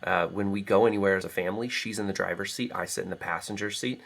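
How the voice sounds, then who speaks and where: A middle-aged American man with a low-pitched voice chats as an interview guest. He is reflective and talkative, speaking in a casual, conversational way. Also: fast talker, creaky, a bit bright. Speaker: guest author.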